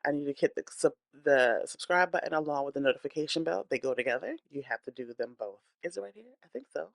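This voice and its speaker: A woman's voice: low voice, lively intonation, fast, vocal fry, dry recording. A woman hosting a celebrity gossip channel, speaking in a blunt, opinionated, chatty way while congested from a cold and breathing hard. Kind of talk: casual celebrity gossip commentary.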